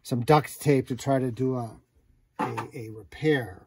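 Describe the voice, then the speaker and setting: Brief: older man, mid-pitched, reminiscing, halting with ums, basement. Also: slightly rough. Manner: reminiscing, hesitant with ums and repeats. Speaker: homeowner showing his toys. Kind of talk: casual chat. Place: basement full of old toys.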